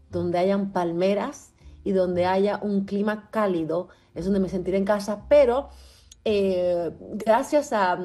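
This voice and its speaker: A woman musician who is a native French speaker and comes from Cuba, giving reflective interview answers in an earnest, deliberate and carefully articulated way. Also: mid-range voice, mellow, husky.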